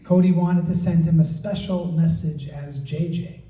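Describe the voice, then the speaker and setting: A man presenting at an event, reading a prepared introduction in a measured, storytelling way in a large community hall. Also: on the high side, fairly even intonation, mellow. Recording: roomy hall, mic at a distance.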